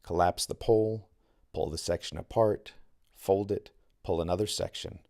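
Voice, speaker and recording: A man's voice: low voice, fairly even intonation, moderate pace, dark tone, no room sound, studio quality. A man reading their own novel aloud as scripted audiobook narration.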